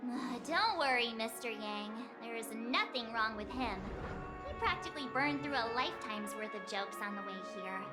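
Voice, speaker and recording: An actress playing an inquisitive, earnest young girl adventurer in a video game, quick to exclaim and question. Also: a little high, animated, over loud music, lightly acted. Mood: wry, concerned.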